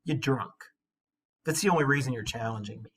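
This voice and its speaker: A man voicing a cocky warrior who taunts a rival in mocking, animated, slangy modern speech. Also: mid-range voice, fairly quick, husky, far from the mic, pitched below the performer's own voice. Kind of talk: comic casual paraphrase.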